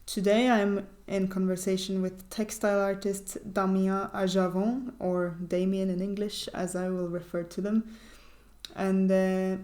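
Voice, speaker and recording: A woman with a medium-pitched, mellow voice hosts a conversation. She speaks clearly and in a measured way, leading the talk. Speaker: interviewer, host. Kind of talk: hosted conversation. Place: small farmhouse room, indoors.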